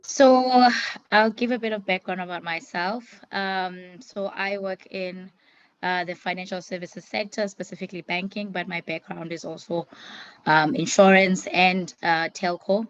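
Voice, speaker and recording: Woman panelist, lowish voice, dry and muffled call audio. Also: fairly even intonation, a little slow, no room sound. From South Africa.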